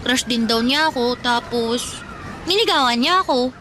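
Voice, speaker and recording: A woman's voice: on the high side, animated, normal speed, bright, forceful tone, slight background noise. A woman playing a chatty young cousin in a radio drama, confiding and wistful, with a Filipino accent. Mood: fond, confiding.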